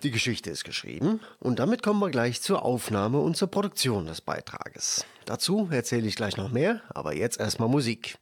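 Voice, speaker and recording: A man's voice: medium pitch, huge pitch swings, normal speed, hardly any pauses. A man presenting on the radio, moderating and announcing what comes next.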